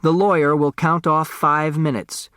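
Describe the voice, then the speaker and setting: A man's voice: on the high side, flat delivery, measured pace, fairly bright tone, clear, resonant tone, no room sound, studio quality, pitched above the performer's own voice. A man voicing an eccentric rich old man's will in an audiobook, grandiose, showman-like and teasing. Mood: mocking, grand.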